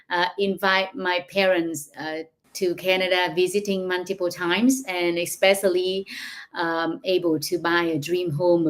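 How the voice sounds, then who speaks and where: A woman in her forties with a Vietnamese accent, speaking English as a second language. She talks slowly and haltingly, with frequent fillers. She sounds like a guest on a video call. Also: mid-range voice. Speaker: guest business coach. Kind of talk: live online interview.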